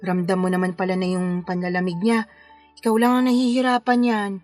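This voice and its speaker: A woman's voice: lowish voice, level tone, a little fast, a little mellow, no echo, soft background music, natural voice. A Filipino woman playing a frank wedding planner in a radio drama, giving coaxing advice to a client. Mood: frank, advising. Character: female wedding planner, frank, advising, secretly conflicted. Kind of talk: radio drama acting in Tagalog.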